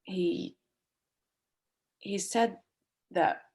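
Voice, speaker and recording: Actress as brittle, acerbic woman for stage dialogue; mid-range voice, blunt and sweary with halting phrasing. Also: medium pitch, a little mellow. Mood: hesitant, subdued. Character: sharp, foul-mouthed woman, bitterly witty, brittle and vulnerable. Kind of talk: dramatic reading of a stage play dialogue.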